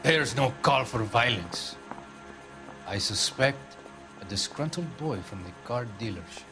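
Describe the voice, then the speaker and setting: A man's voice: medium pitch, animated, a little slow, natural voice. Man playing a pompous Latin American consul, music behind. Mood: disdainful. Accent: Spanish-speaking Latin American. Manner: pompous, imperious, formal diction. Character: pompous, haughty Argentine consul general, entitled and indignant. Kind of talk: dramatic video game acting. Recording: background music under dialogue.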